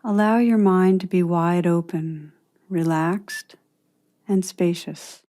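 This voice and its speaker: A woman narrating a guided meditation as a teacher, in a soothing, unhurried manner with long pauses between phrases. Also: fairly low pitch, fairly even intonation, dark tone.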